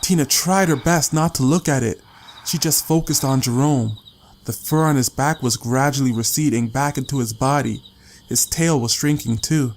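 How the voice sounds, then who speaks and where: A man's voice: mid-range voice, normal speed, gravelly. A man reading a story as an audiobook narrator, in a dramatic scripted style.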